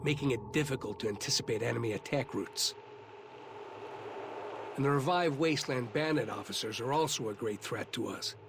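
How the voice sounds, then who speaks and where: An actor playing an earnest, courteous soldier in a fantasy video game, speaking formally. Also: male voice, mid-range voice, moderate pace, noisy background, natural voice. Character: earnest, grateful male soldier of a fantasy guard. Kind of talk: video game cutscene acting. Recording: voice over game music.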